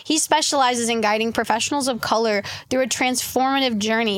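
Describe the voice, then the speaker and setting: A woman with a high voice co-hosts a casual interview podcast. She introduces her guest and asks questions with upbeat enthusiasm. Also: a bit bright. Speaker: podcast co-host.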